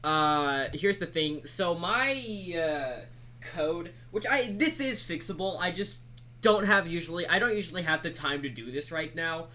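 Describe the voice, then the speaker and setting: A man giving a casual screen-recorded software tutorial, talking in a halting, rambling, informal way. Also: fairly high pitch. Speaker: mod creator presenting tutorial. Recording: background noise, keyboard typing.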